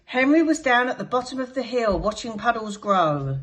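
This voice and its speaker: A woman's voice: on the high side, sing-song, normal speed, slight background noise. A woman teacher reading a picture book aloud to young children, telling the story expressively and dramatically. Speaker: infant school teacher.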